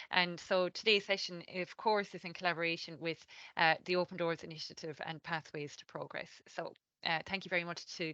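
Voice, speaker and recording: A woman's voice: fairly low pitch, pushed and bright, no room sound, muffled recording. An Irish woman trainer leading an online session, explaining at an easy pace with plenty of "um" and "you know" fillers. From Ireland. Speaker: cultural trainer.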